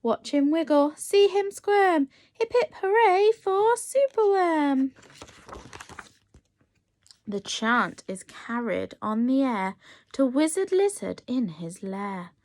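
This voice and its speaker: A woman with a high voice reads a children's story at a slow, deliberate pace. She sounds like a nursery teacher at storytime, animated and encouraging, speaking directly to little listeners. The sound is close and dry. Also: dry recording. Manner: expressive, encouraging, addressing young children. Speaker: nursery teacher reading a picture book aloud.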